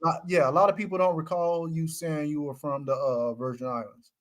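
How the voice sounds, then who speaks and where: A man's voice: fairly high pitch, fairly even intonation. A man hosting a casual live-stream chat, talking in slangy, teasing street speech and pressing his guest with questions. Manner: teasing, slangy, quick to call people out.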